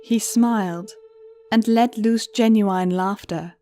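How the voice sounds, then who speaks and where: A woman's voice: medium pitch, slow pace, mellow, soft background music. A woman narrating a scripted story as the narrator of an audio drama.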